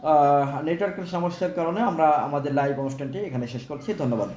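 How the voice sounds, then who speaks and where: A man with a Bangladeshi accent delivers an off-the-cuff live-stream sales pitch. His voice sits a little high, and he speaks politely, repeating his instructions to buyers. The sound is clearly echoey, with faint noise behind him. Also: a little fast, a little mellow, muffled recording. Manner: repetitive, instructional, formally polite. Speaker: live-stream product seller.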